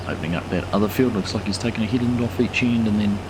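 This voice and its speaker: A hoarse man talks through a farming game in a relaxed, rambling way, filling gaps with plenty of ums and uhs. His voice sits very low. A steady hum of noise runs under the recording. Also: quick pace. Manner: relaxed, rambling with frequent fillers. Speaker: gaming channel host. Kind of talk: casual gameplay commentary.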